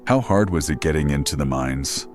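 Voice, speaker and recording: Man playing a very deep-voiced late-night radio host. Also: animated, normal speed. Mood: wry, probing. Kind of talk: scripted horror radio drama. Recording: music under the voice.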